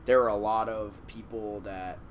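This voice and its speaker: A young man co-hosting a casual podcast, talking haltingly with many fillers and restarts, teasing and fond. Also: lowish voice, fairly even intonation, background noise. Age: young adult. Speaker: podcast co-host. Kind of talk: casual chat.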